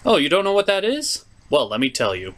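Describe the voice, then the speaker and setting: A comedic cartoon review host with a very lively, swinging pitch. The delivery is scripted, theatrical and sarcastic, often bursting into exclamation. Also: a little fast.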